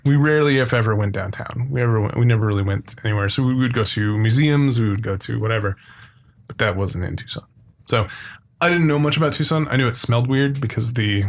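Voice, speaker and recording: A man's voice: mid-range voice, quick pace. A middle-aged American man from Phoenix talking casually and unscripted as a podcast co-host, in a rambling, sweary way that piles up repeated phrases. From Phoenix, Arizona.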